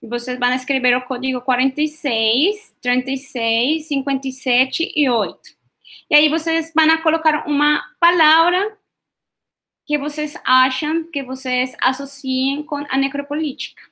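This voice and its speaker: A woman's voice: high-pitched, fairly even intonation, normal speed, pushed and bright. A woman from Colombia with a Colombian Spanish accent, leading an online workshop over a video call, with many hesitations and tag questions. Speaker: workshop facilitator.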